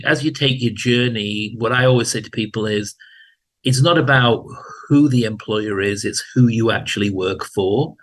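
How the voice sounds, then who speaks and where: A man, telling his career story as an interview guest in a relaxed way with dry humor. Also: mid-range voice. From England. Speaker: guest, hospital chief information officer. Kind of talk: casual interview talk.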